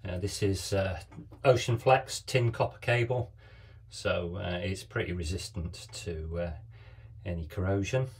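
A man in his fifties filming himself in a small boat cabin on a phone, explaining a wiring job in a relaxed, hesitant way with many fillers. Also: sits low, measured pace, slightly rough. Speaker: sailing vlogger. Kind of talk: casual how-to vlog. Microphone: handheld phone camera.

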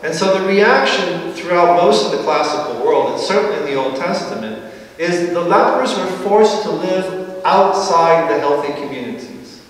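Middle-aged priest, echoey church from afar. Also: male voice, a little high, animated, recorded from a distance. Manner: explanatory, gently wry preaching with slow emphatic phrases. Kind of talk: sermon. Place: large church sanctuary, at a pulpit. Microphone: far camera.

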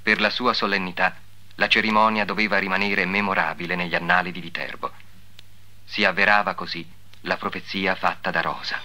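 A man's voice: sits low, level tone, brisk, sharp tone. A man narrating in a formal, declamatory 1950s devotional documentary style, with solemn delivery. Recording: old 1950s soundtrack, music behind.

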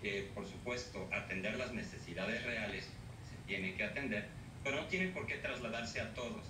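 A man's voice: on the low side, moderate pace. A man guest on a TV news interview, speaking formally with some hesitant fillers, over a video call from an office. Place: office with bookshelves. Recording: roomy, distant, noisy, played off a TV.

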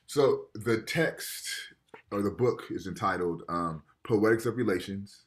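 A man's voice: fairly low pitch, unhurried. A man in his thirties giving a casual college lecture over a video call from a room at home, reading passages aloud and explaining them in a conversational way. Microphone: video call webcam.

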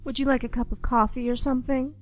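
A woman playing a calm, scary captor in a radio play, composed and politely menacing. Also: sits high, fast talker, soft background music, lightly acted. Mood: coolly polite.

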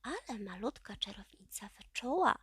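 A woman narrating a children's audiobook in an expressive storytelling style. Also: on the high side, normal speed, breathy edge, no echo, studio quality.

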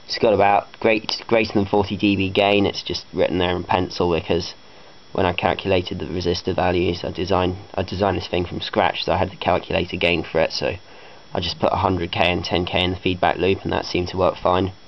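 A man's voice: low-pitched, little pitch movement, brisk. A man giving a casual, unscripted technical demonstration, speaking hesitantly and matter-of-factly into a handheld camera indoors. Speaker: hobbyist electronics builder. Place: carpeted room indoors. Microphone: handheld camera microphone. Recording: dull lo-fi camera audio with faint noise.

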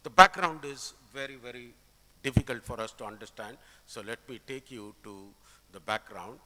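A middle-aged man with an Indian accent preaches an expository sermon, explaining scripture with emphatic, deliberate phrasing. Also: medium pitch, heavy background noise. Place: indoor church, at a pulpit. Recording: reverberant church hall sound.

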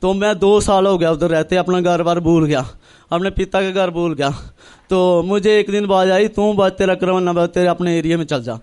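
A young man from northern India tells his religious testimony earnestly, in halting, repetitive phrases, acting out remembered dialogue. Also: high voice, steady tone, a little mellow, clean tone. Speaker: church member giving testimony. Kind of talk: personal religious testimony, storytelling.

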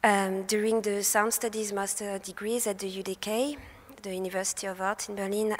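Young french woman, soft airy voice, hesitant conference talk, echoing hall. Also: medium pitch. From France. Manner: hesitant, with fillers and self-corrections. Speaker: speaker presenting research. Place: festival talk stage in a large hall. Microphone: handheld microphone at a lectern. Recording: long hall reverb.

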